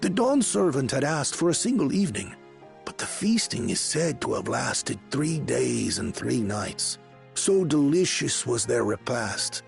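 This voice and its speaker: A man's voice: mid-range voice, sing-song, gravelly, faint music behind, exaggerated voice. An actor playing a solemn storyteller in a fantasy video game, speaking formally and theatrically as he recounts old legends. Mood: solemn pride. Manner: formal, measured, theatrical delivery.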